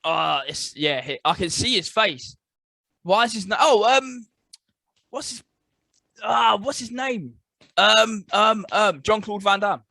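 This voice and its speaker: Easygoing man with a higher voice. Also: expressive, creaky, pushed and bright, no echo. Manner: easygoing, quick to agree. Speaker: podcast co-host. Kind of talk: casual chat. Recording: dry close sound.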